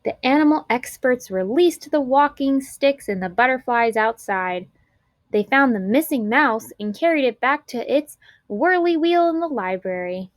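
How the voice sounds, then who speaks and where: A woman narrating a children's storybook aloud in an expressive, clearly enunciated storytelling manner. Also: high-pitched, unhurried, dry recording.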